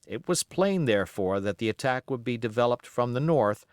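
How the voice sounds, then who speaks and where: A man reading literary narration as an audiobook, expressive and measured in his storytelling. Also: mid-range voice, clear, resonant tone, no echo, clean studio sound.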